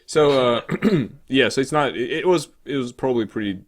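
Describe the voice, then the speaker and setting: A man co-hosting a casual gaming talk show, rambling and halting, with an irreverent, sarcastic, sweary way of talking. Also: mid-range voice. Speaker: co-host of a gaming news show. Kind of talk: casual chat about games news.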